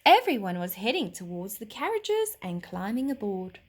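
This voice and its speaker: A woman narrating a children's story expressively, like a teacher reading aloud, with dramatic, deliberate phrasing. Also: medium pitch, sing-song.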